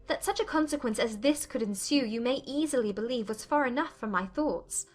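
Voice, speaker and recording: Woman acting a thoughtful young regency lady, high voice, formal and earnest, audiobook drama, bit of music behind. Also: a little fast, lightly acted. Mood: reflective.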